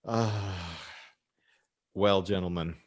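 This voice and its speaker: A man's voice: low-pitched, fairly even intonation, extremely slow. A man hosting a comedy podcast, casually chatting and reading out a scenario with dry, mock-formal phrasing. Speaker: podcast host.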